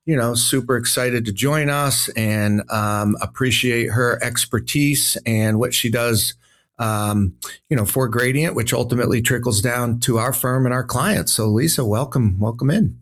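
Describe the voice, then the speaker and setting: A man's voice: mid-range voice, unhurried. Upbeat chatty male financial advisor. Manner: upbeat, chatty, many fillers. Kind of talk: podcast conversation.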